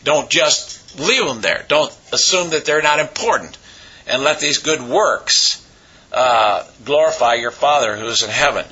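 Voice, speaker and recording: Man, pastor, raspy cold-worn voice, sharp bright tone, halting sermon delivery, husky. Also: mid-range voice, animated, lo-fi sound. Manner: halting, with many pauses and uh fillers. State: hoarse from a cold.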